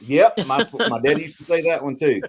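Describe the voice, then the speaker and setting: A man's voice: on the high side, animated, moderate pace, a little hiss or hum. A man chatting casually and telling spooky personal stories in a folksy, slangy way, as a guest on a podcast. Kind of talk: casual storytelling chat.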